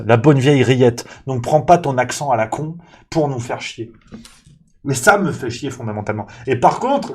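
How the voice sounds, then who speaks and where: A man on a show launches into a comic rant, voice rising into exasperated outbursts, then dropping to grumbling asides. His pitch sits in an ordinary middle range. He talks casually and fast, with lots of fillers. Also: husky. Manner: animated, exasperated, mock-angry ranting; parodies waiters with exaggerated Italian accent.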